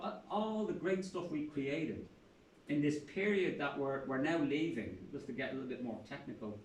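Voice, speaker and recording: A man's voice: medium pitch, a little hiss or hum. An older Irish man, a professor, speaking bluntly and passionately at a university talk, with salty humor. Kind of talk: public talk at a university event. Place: lecture room. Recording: echoey room, speaker far from mic.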